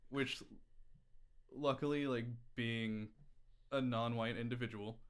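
American man in casual, halting conversation. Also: medium pitch, steady tone. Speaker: podcast guest.

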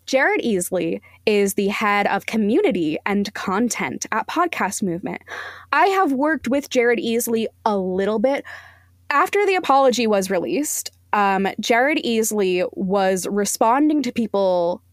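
A woman's voice: medium pitch, big pitch swings, measured pace, no echo, studio quality. A woman speaking bluntly and emphatically as an opinionated guest critic in a casual podcast interview.

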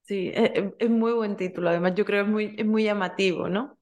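A woman hosts an interview in a medium-pitched voice. She introduces her guest and asks questions. She often hesitates and pauses with tag questions. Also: steady tone, a little mellow. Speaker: podcast host.

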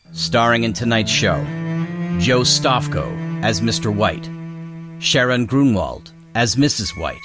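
A man reading scripted end credits as the announcer of an audio drama. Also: fairly low pitch. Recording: music behind the voice.

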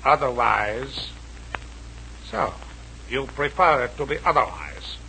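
An actor playing a cruel, pompous Japanese army officer in a 1940s radio adventure serial, menacing and mockingly formal. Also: male voice, mid-range voice, lively intonation, slow pace, creaky, bright, forceful tone, heavily acted. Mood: coolly menacing. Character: cruel, sneering Japanese army major, villain. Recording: noisy old radio broadcast.

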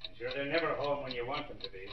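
A man's voice: mid-range voice, quick pace, lightly acted. Man playing a distant, echoey gas man. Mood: routine calling. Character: matter-of-fact gas company meter man calling from outside. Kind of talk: 1940s radio drama acting. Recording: distant, echoey, dull, noisy old radio recording.

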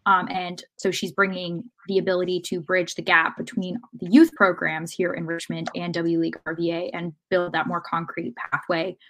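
A woman's voice: on the low side, flat delivery, normal speed, hardly any pauses, a bit bright, poor audio. A woman co-hosting a sports podcast, talking casually in an articulate, explanatory way. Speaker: podcast co-host and interviewer.

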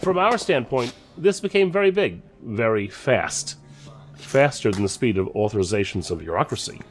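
An actor playing a self-assured, guarded counterterrorism agent in an audio drama, formal and deliberate with dramatic pauses. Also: male voice, mid-range voice, lively intonation, slight background noise, natural voice. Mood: guarded, official.

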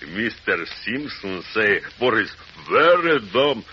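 A man's voice: on the low side, big pitch swings, takes it slowly, bright, forceful tone, heavily acted. An actor playing a big, simple-minded, earnest Russian strongman, groping for English words in old radio style. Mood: naive pride. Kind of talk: 1940s radio acting. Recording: muffled, noisy old radio recording.

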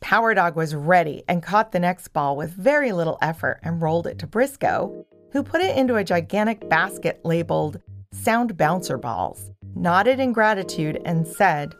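A woman's voice: lowish voice, lively intonation, moderate pace, soft background music. A woman storyteller reading scripted narration for a children's audio story.

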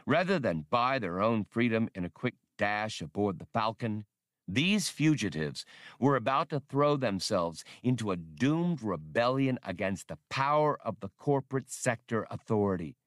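Low-voiced male audiobook narrator, very dry. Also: expressive, normal speed, dry recording. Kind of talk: scripted narration of adventure fiction.

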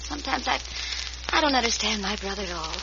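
An actress playing a gentle, anxious young frontier woman, speaking hesitantly in old-time radio melodrama style. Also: mid-range voice, lively intonation, lightly acted. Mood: worried. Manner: hesitant, halting. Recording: noisy, muffled vintage radio recording.

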